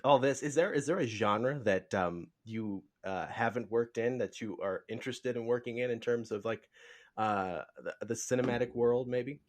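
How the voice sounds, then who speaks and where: A man hosting a casual interview, speaking in a halting, rambling way with tentative phrasing. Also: medium pitch. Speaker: podcast host, interviewer.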